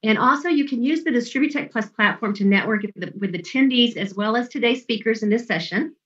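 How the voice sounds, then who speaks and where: A woman moderating an online event, reading housekeeping notes and speaker introductions in a measured way over a video call. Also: a little high, a bit bright. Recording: dull lo-fi video call audio.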